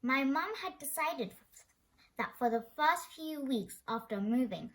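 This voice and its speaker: A woman's voice: sits high, lively intonation, a little slow. A woman reading a children's story aloud expressively, now and then stumbling over a word. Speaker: storybook reader.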